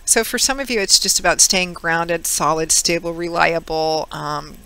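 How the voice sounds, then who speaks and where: A woman giving a chatty tarot reading, her voice hoarse and a little froggy from a cold. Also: normal speed, pushed and bright, rough recording. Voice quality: slightly froggy, squeaky. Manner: chatty, with many fillers. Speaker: tarot reader. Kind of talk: casual monthly tarot reading.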